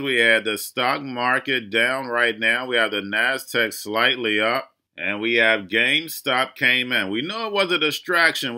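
A man's voice: medium pitch, bright, forceful tone. A man hosting his own crypto channel, talking urgently and preachily, addressing viewers as "guys" and repeating warnings. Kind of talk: monologue market commentary.

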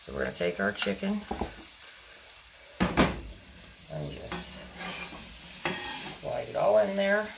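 A woman's voice: medium pitch, sing-song, takes it slowly, pauses often, very noisy. A woman in her thirties chatting casually as she cooks at home, rambling with lots of ums and little apologies, recorded on a camera angled down at her stove in a home kitchen. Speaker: home cooking vlogger. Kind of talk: casual cook-along chat. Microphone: fixed camera angled down at the stove. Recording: clear frying sizzle in a home kitchen. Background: frying sizzle and stirring.

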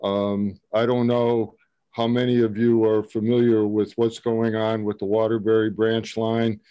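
Older male mayor on a video call, low voice, dry close sound, steady and measured. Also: clean tone, no echo, dull audio. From Connecticut. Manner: measured, steady, with frequent ums. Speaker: mayor testifying at a public hearing. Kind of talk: prepared testimony. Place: office with shelves and a flag.

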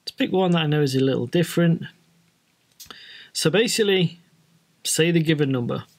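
A man with a British accent presents a casual tutorial for trainee pilots. His voice sits a little higher than usual for a man. He explains things informally, step by step. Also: normal speed, vocal fry. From Britain.

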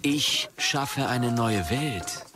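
An actor playing a grandiose, dreamy visionary creator at a start-up in an audio drama. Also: male voice, mid-range voice, extremely slow. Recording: music behind the voice.

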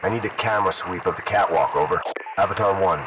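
A man's voice: low voice, fast talker. A man playing a stern military commander in a video game, giving terse, procedural orders over a radio. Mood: stern, controlled. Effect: radio filter. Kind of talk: military radio chatter voice acting. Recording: noisy radio transmission, distant voice.

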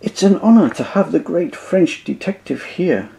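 A man's voice: mid-range voice, animated, normal speed, dark tone, natural voice, low-quality recording. A man voicing a polite, formal male station master, in a told story.

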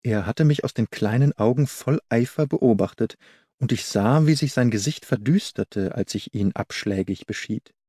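A man narrates a scripted detective story with a soft, mellow tone. His delivery is measured but expressive, at a middle pitch. The sound is close and very dry. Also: husky, no room sound. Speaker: audiobook narrator.

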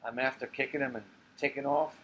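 A man's voice: mid-range voice, steady tone, fairly quick, fairly bright tone, natural voice, rough recording. Stage play: bitter Irish barfly, read by a man, emphatic storyteller, agitated and coarse, faint noise behind. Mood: bitter, wry. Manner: foul-mouthed, emphatic storytelling. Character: agitated, bitter Irish barfly recounting a near-death scrape.

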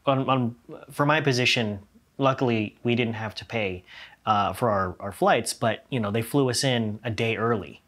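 A young man hosting an interview, relaxed and curious, asking follow-up questions and laughing along, recorded with a lapel mic in a small studio room. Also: mid-range voice, moderate pace. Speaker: podcast host, former cruise ship crew. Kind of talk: casual interview chat.

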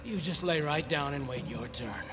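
A greedy businessman villain from a video game, acted by a man. His high voice swings widely in pitch, slow and smugly menacing, with music behind him. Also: animated, natural voice. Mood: smug menace. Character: greedy, callous male organ-trading businessman villain. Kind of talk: video game cutscene acting.

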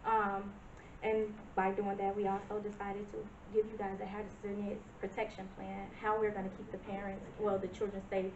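A woman in her thirties introduces herself and her plan to a village board. She speaks earnestly, with a few hesitations. The sound is distant and echoey, dulled by a camera mic with steady hiss. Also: medium pitch, moderate pace. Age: 30s. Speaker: business presenter. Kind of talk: public comment at a meeting. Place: village board meeting room. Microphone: far camera. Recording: distant echoey lo-fi meeting video.

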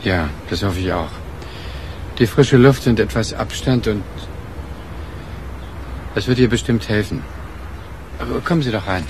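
An actor playing a courteous, consoling married man, speaking politely and haltingly in a German radio crime play. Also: on the low side, a little hiss or hum, rough recording.

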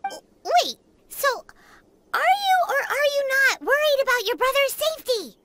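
A woman voicing a tiny, outspoken, inquisitive fairy companion girl in a video game, with a squeaky childlike voice. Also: big pitch swings, moderate pace, heavy background noise. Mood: indignant, questioning. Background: game music.

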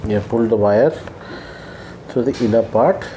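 A young man giving casual step-by-step how-to instructions, explaining things haltingly with pauses as he works. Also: on the low side. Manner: halting, explanatory, often pausing mid-sentence while working. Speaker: vlogger giving a how-to demonstration. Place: indoors at home, seated on the floor. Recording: steady ambient room noise.

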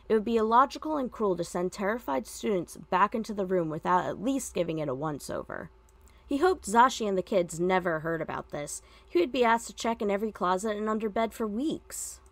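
A woman's voice: medium pitch, expressive, a little fast, dry recording. A woman reading a story aloud as scripted narration. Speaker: fan fiction podfic reader.

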